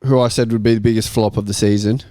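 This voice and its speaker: A man's voice: on the low side, fairly even intonation, quick pace, dark tone, no echo. Casual male football panel host, close dry sound.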